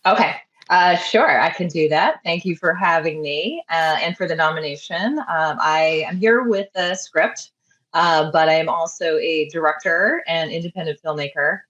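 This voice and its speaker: A woman director speaks on a panel in a polite, measured way. Her voice is low for a woman. The audio is dull and muffled. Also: fairly bright tone. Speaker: director and screenwriter. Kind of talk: casual panel talk.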